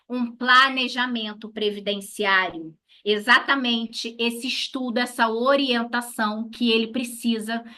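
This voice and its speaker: Bright-voiced Brazilian woman teaching. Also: fairly high pitch. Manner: didactic, asking and answering own questions. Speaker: lawyer teaching a class. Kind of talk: instructional talk to colleagues.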